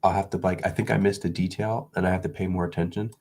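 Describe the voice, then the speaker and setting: A very low-voiced man with a gravelly, husky tone talks casually to his audience. He is congested and sniffling from an illness, and he rambles along with frequent restarts. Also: steady tone, fast. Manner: rambling, restarts, soft chuckles. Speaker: video creator. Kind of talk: casual vlog chat.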